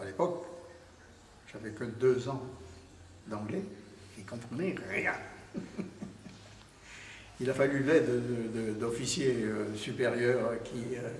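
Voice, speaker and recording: Gravelly older man telling war memories. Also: mid-range voice, a little hiss or hum. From Tunisia. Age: 80s. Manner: hesitant, many fillers and restarts. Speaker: war veteran giving testimony. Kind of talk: oral history storytelling. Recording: distant, roomy recording with long reverb.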